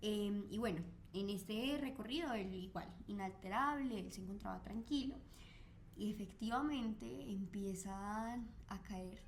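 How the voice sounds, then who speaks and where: A woman's voice: mid-range voice, measured pace, slight background noise. A woman, recounting a crime case on a chat podcast with frequent fillers. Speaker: co-host.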